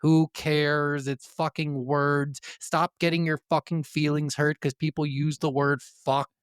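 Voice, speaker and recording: A man's voice: fairly high pitch, flat delivery, measured pace, smooth, no echo, clean studio sound. A man co-hosting a podcast in casual chat, speaking bluntly and ranting with heavy swearing. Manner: blunt, heavily profane, ranting. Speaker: podcast co-host.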